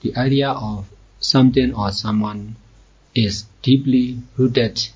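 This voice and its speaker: A man teaching guided meditation slowly, in measured short phrases with long pauses. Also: mid-range voice, fairly even intonation, darkish tone, breathy edge. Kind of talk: slow guided meditation instruction. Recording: dull, muffled lo-fi recording.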